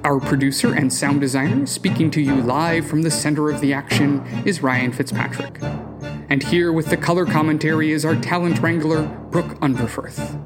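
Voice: mid-range voice, moderate pace. An announcer reading scripted end credits for an audio drama. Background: loud guitar music.

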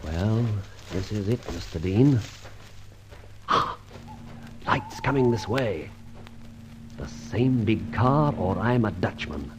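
Suave gentleman adventurer, animated delivery. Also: on the low side, takes it slowly, long pauses, slight background noise. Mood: tense, hushed. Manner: urbane, wordy, mock-formal. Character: suave gentleman adventurer, masked highwayman with flippant humour. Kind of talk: old-time radio adventure serial acting and narration. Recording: dull old radio transcription recording.

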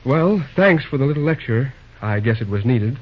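Young male superintendent, played by an actor, slangy and smitten, lively old-time radio delivery, rough-edged voice, muffled vintage recording. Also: medium pitch, normal speed, natural voice. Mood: firm, earnest. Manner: blunt, slangy, stammers when flustered. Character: brash, plain-spoken young railroad superintendent, stubborn and smitten.

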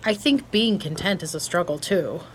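A woman's voice: fairly low pitch, animated, moderate pace, slight background noise, close to an everyday voice. A woman playing a blunt, laid-back, sarcastic character in a naturalistic audio drama, with a casual, slangy delivery. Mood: wry, reflective.

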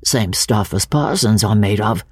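A woman voicing a boyish, playful young English husband in a dramatic audiobook reading, murmuring and gentle. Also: a bass voice, a little slow, slightly rough, no echo, pitched below the performer's own voice, full character voice. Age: young adult.

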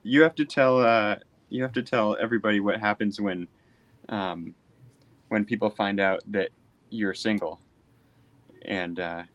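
Lowish-voiced man, late twenties. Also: fairly even intonation, measured pace, long pauses, fairly bright tone, no room sound, lo-fi sound. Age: late 20s. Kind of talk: casual chat.

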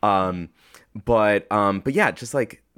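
Guy on a podcast as guest, slightly low voice, informal, kinda halting, feels out his words. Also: fairly bright tone, clear, resonant tone. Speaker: podcast guest, audio fiction creator. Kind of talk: casual interview chat.

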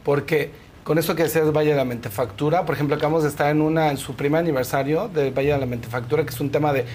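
A man's voice: a little high, steady tone, racing, a little mellow. A Mexican man speaking as an interview guest, chatty and explanatory, often checking for agreement with small tag questions. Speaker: guest, fashion film festival organizer. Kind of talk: casual interview chat. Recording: clear background noise.

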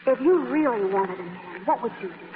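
A woman's voice: medium pitch, lively intonation, brisk, soft background music, lightly acted. An actress playing a blunt, wistful girl in 1940s radio comedy-drama, with a frank, earnest, melodramatic delivery. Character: blunt, wistful, eavesdropping girl admiring an older woman. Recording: reverberant, tinny 1940s radio broadcast.

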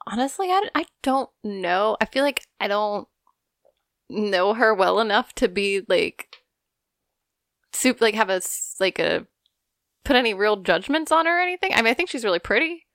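A woman's voice: mid-range voice, animated, normal speed, a bit bright, no echo, clean studio sound. A young woman co-hosting a podcast, chatting casually with hesitant restarts and giggles. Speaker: podcast co-host. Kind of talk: casual chat.

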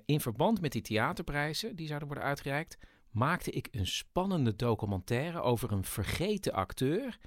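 A man's voice: mid-range voice, no room sound, clean studio sound. A man the hosting and introducing an archive recording in a personal, spoken style. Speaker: podcast host and radio maker.

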